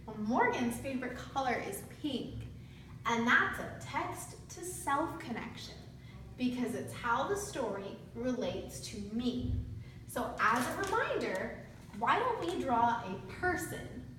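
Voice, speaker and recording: A woman's voice: on the high side, very animated, takes it slowly. A woman teaching a read-aloud lesson to young children, using simple instructional phrasing and prompting them with questions. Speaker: student teacher reading aloud to a class. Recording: very echoey room, distant mic, faint noise.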